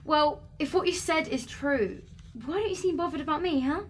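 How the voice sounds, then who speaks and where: Actress as a high-voiced, feisty, stubborn young woman. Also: normal speed, slight background noise, close to an everyday voice. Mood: indignant, defiant. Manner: challenging, sarcastic. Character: feisty, stubborn, sarcastic young female video maker. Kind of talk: audio drama acting.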